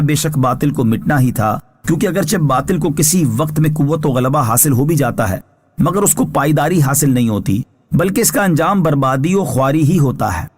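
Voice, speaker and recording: Man reading formal religious commentary. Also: mid-range voice. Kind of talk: scripted religious narration of scripture commentary.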